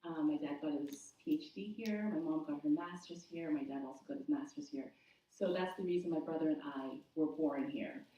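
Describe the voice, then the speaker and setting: Soft-spoken woman from Zimbabwe, far and echoey. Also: low voice, moderate pace. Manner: warm, steady and reflective, with frequent ums. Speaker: church member sharing her story. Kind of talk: personal testimony at a church service. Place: church sanctuary. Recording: echoey room from far off, dull.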